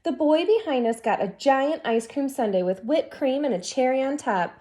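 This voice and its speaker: A woman reading a children's picture book aloud expressively, as a teacher who pauses to put questions to young listeners. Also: sits high, mellow.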